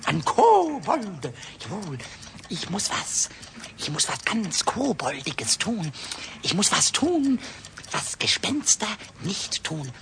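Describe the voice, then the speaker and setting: A man voicing a cheeky, hot-tempered, childlike little kobold in a children's radio play, squeaky, stuttering and repeating words. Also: takes it slowly, gravelly, exaggerated voice. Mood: indignant, brooding. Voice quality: shrill, squeaky. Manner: stuttering excited outbursts, repeats words, cackles. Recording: noisy old radio play recording.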